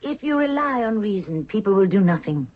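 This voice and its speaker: A woman's voice: medium pitch, big pitch swings, a little fast. A woman playing a hard-willed, commanding Egyptian queen in a 1970s radio drama, with a firm, imperious delivery. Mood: firm, persuasive. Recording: tinny narrow-band old radio recording.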